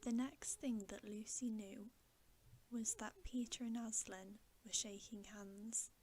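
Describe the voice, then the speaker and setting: Hushed, slow woman reading ASMR bedtime story. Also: on the high side. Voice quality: soft, breathy, often whispered. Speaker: ASMR host reading aloud.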